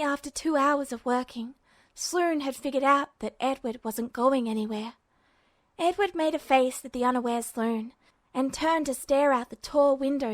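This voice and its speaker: A woman reading fanfiction aloud as podfic narration in a steady, even manner. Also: high voice, no echo. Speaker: fanfiction reader.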